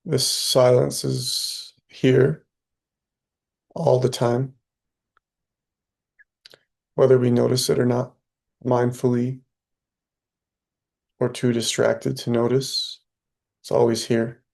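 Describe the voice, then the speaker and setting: A man leading a guided meditation, speaking softly and slowly with long pauses between phrases. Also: mid-range voice, level tone, vocal fry. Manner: slow, soft, long pauses.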